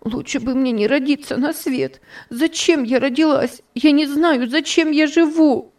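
A woman's voice: sits high, fairly even intonation, measured pace, gravelly, warm tone, breathy edge, distant mic, voice pushed up, heavily acted. A woman voicing a grief-stricken young girl who wails and pleads through tears. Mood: despairing. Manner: wailing, tearful.

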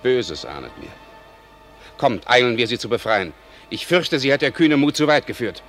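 An actor playing a loyal noble knight in a radio play, speaking measured, stately verse. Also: male voice, medium pitch, big pitch swings, a bit bright, soft background music. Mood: urgent.

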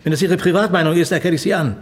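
An actor playing a strict, authoritative police chief inspector in a radio drama, formal and reprimanding. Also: male voice, a little high, animated, brisk, breathy edge. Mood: reproving.

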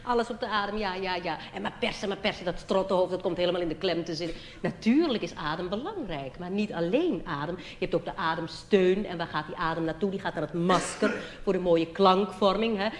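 A woman's voice: on the low side, brisk, few pauses, reverberant, slight background noise. A young woman with a Netherlands Dutch accent doing comic stage banter as a cabaret performer. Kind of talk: comic stage banter about singing lessons.

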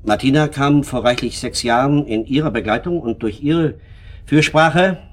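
An actor playing an indignant, stuffy club member squirming defensively in a German radio drama, formal, evasive and hesitant. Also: male voice, mid-range voice, normal speed, natural voice.

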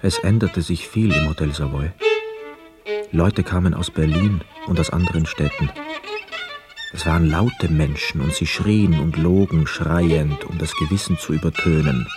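An actor playing a poor, wistful young man who narrates a literary radio drama in a measured, reflective manner. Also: rumbling low, over music. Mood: sombre narration. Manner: measured, reflective, hushed inner asides.

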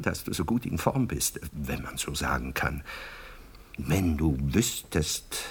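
Radio drama male rival: deep, very animated pitch, husky, theatrical, evasive and stammering. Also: full character voice. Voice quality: husky, breathy. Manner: stammering, theatrical, wheedling excuses. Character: evasive, lovelorn, sardonic male friend and rival, Bruno.

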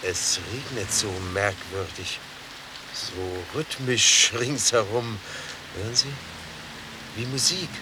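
A man's voice: on the low side, slow pace, long pauses. An actor playing a hesitant, dreamy, solicitous male motorist in a German radio drama, speaking in a tentative, musing way. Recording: faint hiss, early-1980s radio drama tape.

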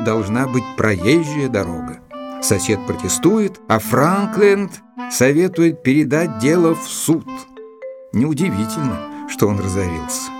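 An actor playing an observant English gentleman who narrates his written report in a measured, expressive audio-drama storytelling style. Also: mid-range voice. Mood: wry. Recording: dry studio recording with music behind. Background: music.

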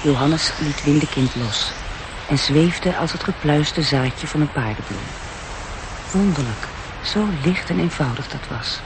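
A man's voice: fairly high pitch, measured pace, noisy background. A man narrating a story in a radio drama, reading scripted storybook prose.

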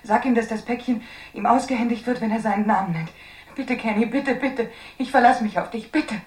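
An actress in a 1960s German radio drama playing a frightened young woman, speaking in a halting, pleading, intimate way. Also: on the high side, a little mellow, roomy sound, far from the mic, natural voice. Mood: urgent pleading. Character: frightened young woman leaving a desperate farewell message.

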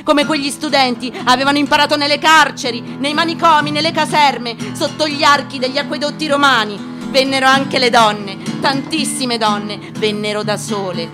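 A woman giving a dramatic literary reading, solemn and declamatory, with deliberate pauses, over guitar. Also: on the high side. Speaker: actress giving a staged reading. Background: guitar music.

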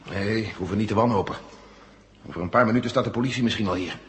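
An actor playing a steady, practical general in a 1970s radio drama, plain-spoken and matter-of-fact. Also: male voice, on the low side, fast, slight background noise, close to an everyday voice.

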